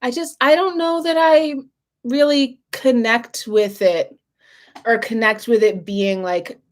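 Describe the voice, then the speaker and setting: A woman chatting casually in a makeup review vlog, her voice husky and a little hoarse as if she is losing it, often clearing her throat between fillers. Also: a little high, steady tone, slow. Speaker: beauty vlogger.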